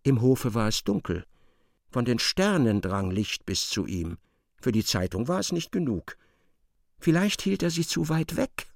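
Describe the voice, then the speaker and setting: Male narrator for a radio drama: mid-range voice, animated melody, close dry studio recording. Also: mid-range voice, a little slow, creaky, no room sound.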